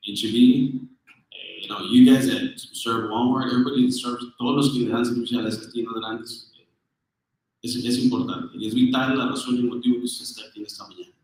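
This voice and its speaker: A man's voice: mid-range voice, flat delivery, quick pace. A man addressing a business audience in an earnest, emphatic way, with hesitations and fillers. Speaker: official speaker at a business briefing. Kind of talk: public address switching between languages. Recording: echoey room, far from the mic.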